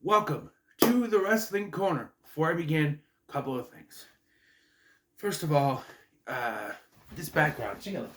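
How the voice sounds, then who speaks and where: Chatty man with a head cold. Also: noisy background. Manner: chatty, self-interrupting, lively pitch swings. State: getting over a cold, slightly nasal, clearing throat. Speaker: host of a wrestling review channel. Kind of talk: casual rambling vlog intro. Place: his room at home. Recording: echoey room.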